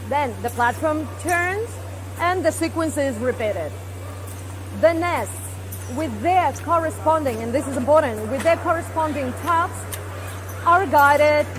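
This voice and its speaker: A woman's voice: high voice, noisy background. A woman in her forties on a lapel mic, explaining machinery on a factory floor with frequent fillers and restarts. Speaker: company presenter. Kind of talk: guided equipment tour. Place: large indoor factory production floor.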